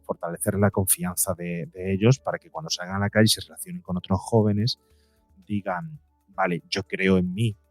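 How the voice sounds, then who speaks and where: A man's voice: sits low, moderate pace, dry recording, soft background music. A man speaking as an interview guest and psychologist, explaining in a conversational way and voicing imagined quotes to illustrate his points.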